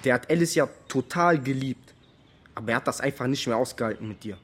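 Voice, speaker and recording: An actor playing a blunt teenage buddy who talks in street slang, in a naturalistic radio drama. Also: male voice, medium pitch, fast talker, a bit bright, husky. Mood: troubled. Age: young adult.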